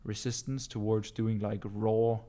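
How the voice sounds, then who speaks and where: A Danish man whose first language is Danish, talking casually in a live Q&A about business, often calling his listeners bro and filling pauses with um and uh. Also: fairly low pitch, level tone, warm tone. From Denmark. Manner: casual, calls listeners bro, many ums and uhs. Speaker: business coach answering viewer questions.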